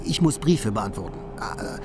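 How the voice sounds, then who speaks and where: A young broke poet in a radio play, ironic, self-questioning, music under him. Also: male voice, fairly low pitch, big pitch swings, unhurried. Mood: reluctant. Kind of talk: radio play acting with inner monologue.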